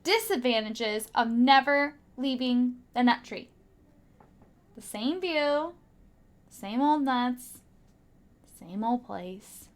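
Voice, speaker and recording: Lady teacher reading a kids storybook, high voice, really expressive, little hushed asides to the kids. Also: slow pace, long pauses. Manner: expressive, dramatic emphasis, drops into hushed asides. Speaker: teacher reading a picture book aloud. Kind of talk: children's story read-aloud with asides to young listeners.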